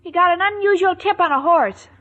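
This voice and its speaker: A woman playing a naive, sweetly excitable young bride-to-be who takes everything literally, in a 1940s radio sitcom. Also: extremely high pitch, a little fast, very noisy. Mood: guileless. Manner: earnest, guileless, literal-minded. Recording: dull 1940s radio recording, studio audience.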